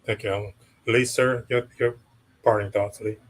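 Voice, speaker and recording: A man's voice: lowish voice, steady tone, normal speed, poor audio. A man moderating a community panel, chatting casually and directing questions to the speakers. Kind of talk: panel discussion.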